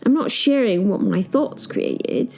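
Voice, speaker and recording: A woman's voice: a little high, expressive, unhurried, vocal fry, a little mellow, faint music behind. A woman playing a flustered, dry-witted reluctant caretaker of Hell, narrating an audio drama in the first person in a wry, understated, digressive way.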